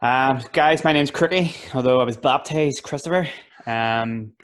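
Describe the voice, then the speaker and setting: A young man with a Belfast accent, telling about his life in a relaxed, laughing way. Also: medium pitch, a little slow. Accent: Northern Irish, Belfast. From West Belfast, Northern Ireland. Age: young adult. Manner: laid-back, laughs easily, fillers. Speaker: youth worker and rapper. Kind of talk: casual interview.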